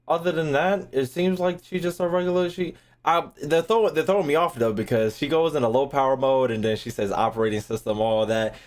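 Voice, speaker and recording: A man's voice: fairly high pitch, fairly quick, few pauses. A young man giving casual livestream game commentary, slangy and profane, with playful rants. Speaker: game streamer.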